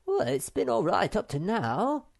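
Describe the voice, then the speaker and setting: A woman reading aloud and voicing a small, boastful male creature who talks in a squeaky, excitable way. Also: a little slow, creaky, heavily acted. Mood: excited. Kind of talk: audiobook character voice.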